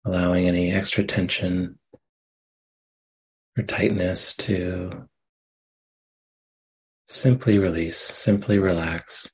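Male meditation teacher, very unhurried delivery, husky low register, gentle phrasing. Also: fairly even intonation, poor audio. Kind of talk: guided meditation, slow soft instruction.

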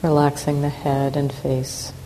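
A woman teaching a guided meditation, giving calm, unhurried instructions with long pauses between phrases. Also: sits low, rough recording. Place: meditation center hall. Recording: clear steady background noise.

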